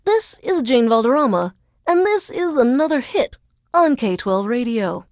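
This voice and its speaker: A woman's voice: on the high side, darkish tone, dry recording, studio quality. An actress playing a resentful female news reporter forced to work as a radio DJ in a video game, with a formal, dramatic, sardonic newscaster delivery. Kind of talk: scripted radio DJ links in newsreader delivery.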